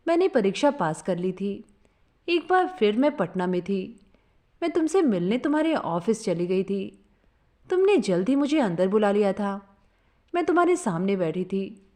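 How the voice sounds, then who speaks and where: A woman reads a sentimental story in the first person. Her voice sits fairly high and moves up and down a great deal, giving the narration an expressive, storytelling feel.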